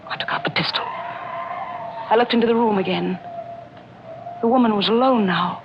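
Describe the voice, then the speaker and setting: An actress playing a jealous, scheming mystery novelist who narrates an old-time radio thriller with melodramatic intensity and dramatic pauses. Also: female voice, on the high side, background noise, lightly acted. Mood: tense, brooding. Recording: muffled old radio recording, loud music.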